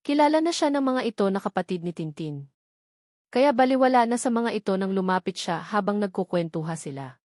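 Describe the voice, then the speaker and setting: A woman's voice: medium pitch, expressive, fairly quick, a little mellow, dry recording, studio quality. A woman reading scripted story narration as an audiobook narrator. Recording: music playing behind the voice. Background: background music.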